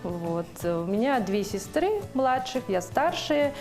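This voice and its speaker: A young woman from Yakutia, talking casually while cooking as a guest on a TV show. She speaks at an ordinary pitch and often pauses with fillers as she gathers her words. Music plays clearly under her voice in the studio kitchen. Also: expressive. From Yakutia, Russia. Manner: chatty, hesitant, many fillers.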